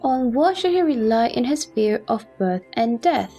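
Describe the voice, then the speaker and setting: Woman as high-voiced earnest bodhisattva Manjushri. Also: lively intonation, unhurried, mellow, slightly rough, soft background music, exaggerated voice. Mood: earnest inquiry. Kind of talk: audio drama sutra recitation.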